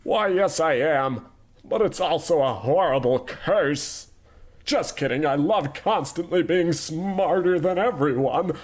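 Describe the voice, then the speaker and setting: A man's voice: a little high, slow pace. A man playing a pompous, flippant animation tycoon in a comedy radio play, smug and careless. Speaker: company boss character.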